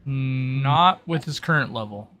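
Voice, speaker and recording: Bright-voiced man running a tabletop game. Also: medium pitch, slow pace. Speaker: game master. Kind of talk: casual game-session chat.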